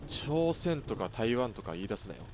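A blunt young army sniper, played by a man talks in rough soldier slang with a mid-range voice. Faint noise runs under his lines in this radio play. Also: medium pitch, sing-song, moderate pace, a little mellow. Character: hot-headed young male army sniper.

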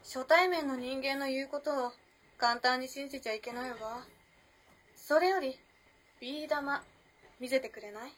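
A woman's voice: high-pitched, normal speed, long pauses, a bit bright. A woman playing a young modern lady in a radio drama, cool and teasing, with old-fashioned feminine politeness. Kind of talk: Japanese radio drama acting.

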